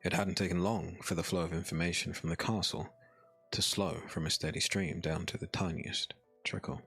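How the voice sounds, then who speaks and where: A man narrating a dark fantasy audiobook as a scripted story, in a solemn, measured manner. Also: low-pitched, fairly even intonation, husky, soft background music.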